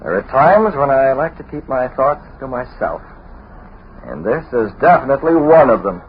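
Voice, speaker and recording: An actor playing a cool, shrewd newspaper boss in a wry, self-possessed way, in 1930s radio drama style. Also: male voice, mid-range voice, lively intonation, normal speed, lightly acted. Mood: wry, evasive. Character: cool, shrewd newspaper boss keeping his own counsel. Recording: dull, faintly noisy old radio recording.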